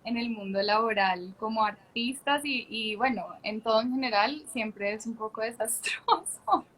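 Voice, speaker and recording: Chatty Colombian woman, high voice. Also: normal speed, a bit bright, poor audio. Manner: chatty and candid, laughs often, many restarts. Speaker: actress, guest. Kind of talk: casual interview chat. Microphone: video call.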